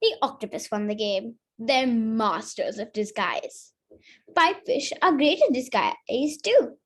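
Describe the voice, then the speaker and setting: High, lively female storybook reader. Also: measured pace, slightly rough. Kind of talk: read-aloud of a children's picture book.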